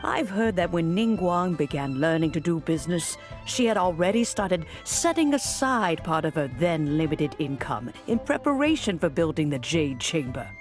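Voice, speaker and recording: A gracious, conciliatory fantasy game figure with a low female voice. She speaks gently and formally, as if telling a story, over faint music. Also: sing-song, moderate pace, hardly any pauses. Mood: calm, gracious. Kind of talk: scripted fantasy game dialogue. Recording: music under the voice.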